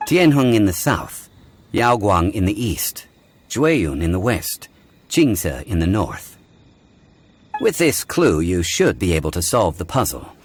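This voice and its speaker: An actor playing a composed, learned gentleman of ancient wisdom in a video game, speaking in a measured, formal, didactic way. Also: lowish voice, big pitch swings, full character voice. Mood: solemn, storytelling.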